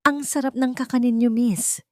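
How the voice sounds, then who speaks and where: A woman voicing a gentle, confident male lawyer in an audio love story, measured, with a Filipino accent. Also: on the high side, fairly even intonation, dry recording, clean studio sound, pitched above the performer's own voice, natural voice. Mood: gently admiring. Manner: soft-spoken, measured.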